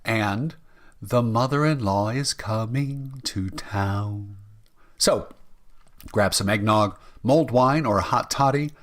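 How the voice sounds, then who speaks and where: A man's voice: fairly low pitch, slow pace, fairly bright tone. A man working as an announcer, reading a scripted introduction in radio-show style.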